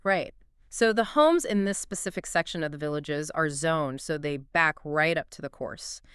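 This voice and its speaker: A woman with a fairly low, clean, resonant voice co-hosts a podcast explainer. She speaks articulately and with emphasis, in a scripted-conversational style, recorded very dry. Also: moderate pace, smooth, no echo. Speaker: co-host.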